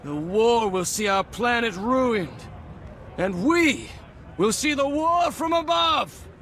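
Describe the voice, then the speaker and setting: A man playing a grandiose, reckless party reveler in a video game, loudly declaiming. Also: extremely high pitch, expressive, slow pace, a faint crowd, exaggerated voice. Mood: wild exultation. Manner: loud declaiming. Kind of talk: video game dramatic acting.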